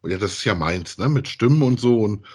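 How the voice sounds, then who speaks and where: A man, chatting casually as a podcast co-host, thinking aloud haltingly and repeating himself. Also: lowish voice, animated, darkish tone, husky. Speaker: podcast co-host reviewing audio dramas. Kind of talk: casual chat, reviewing audio plays.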